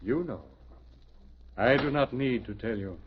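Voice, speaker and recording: A noisy, dull vintage radio recording. A male actor plays a grave, commanding man, an ominous guide who is really a lawman. He speaks in a measured, authoritative way at medium pitch. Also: lively intonation, lightly acted. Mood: solemn, ominous. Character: solemn, stern otherworldly guide, secretly a homicide lieutenant. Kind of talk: 1950s radio drama acting.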